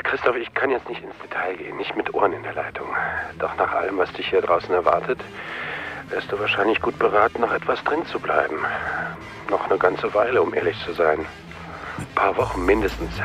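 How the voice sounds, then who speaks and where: An actor in a German radio crime drama playing a shady, guarded crony with an evasive, colloquial way of talking. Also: male voice, low-pitched, brisk, fairly bright tone, highs cut off, soft background music. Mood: guarded, wry. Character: shady, guarded male crony advising caution.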